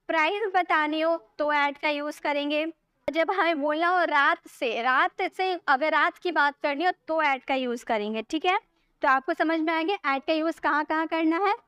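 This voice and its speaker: A high-pitched woman gives an online grammar lesson, and her voice sits close and dry with no room around it. She repeats each point, keeps asking whether her students have understood, and slows right down to dictate English example sentences. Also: fairly bright tone, dry recording. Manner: repetitive, checks understanding with frequent questions.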